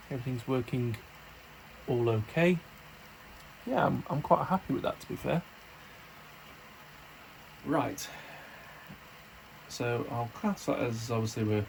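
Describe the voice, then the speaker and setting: A slightly croaky man chatting casually as he shows off and tests a model locomotive, repeating his praise and filling pauses with ums. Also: mid-range voice, a little mellow, a little hiss or hum, poor audio. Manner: chatty, repeats praise words, many fillers. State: croaky from hay fever and little sleep. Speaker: model railway hobbyist reviewer. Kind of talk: casual unboxing and test-run commentary.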